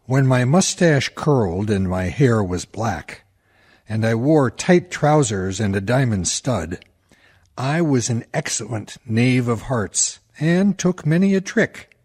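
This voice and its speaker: Radio drama: actor as an aged rural ladies' man, rueful, bitter, medium-pitched, taking his time. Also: lively intonation, slow pace, darkish tone, breathy edge. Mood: boastful nostalgia. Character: faded old small-town womanizer, wistful and bitter. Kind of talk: dramatic verse monologue for radio.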